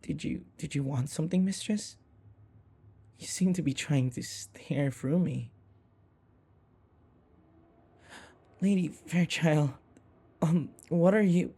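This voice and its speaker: A nervous, devoted young manservant played by a man in an intimate audio roleplay. His voice is mellow and gravelly, with a soft breathiness. He speaks slowly and hesitantly, stammering with deference as a submissive human servant to a vampire mistress. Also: a little high, exaggerated voice. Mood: anxious, devoted.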